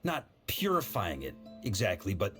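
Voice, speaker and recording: A man's voice: on the low side, huge pitch swings, normal speed, fairly bright tone, faint music behind. A man playing a seasoned, wry and confident knight commander with a breezy, conversational manner in an anime game. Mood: thoughtful.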